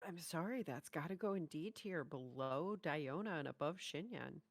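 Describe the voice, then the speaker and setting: A woman's voice: low-pitched, expressive, moderate pace. A woman co-hosting a reaction show, chatting informally and giving her opinions. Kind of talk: casual reaction chat and ranking.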